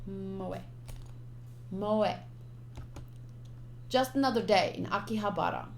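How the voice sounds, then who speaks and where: A woman's voice: medium pitch, takes it slowly, vocal fry, a little hiss or hum. A woman streamer reading visual novel text aloud, with casual commentary of her own. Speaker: game streamer. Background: game music.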